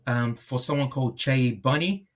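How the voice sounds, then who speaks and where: A man gives a reflective, conversational interview as the guest. His voice sits at a medium male pitch, and he often repeats a phrase before carrying on, rewording his thought as he goes. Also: moderate pace. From born in Liverpool, moved to Nigeria at five.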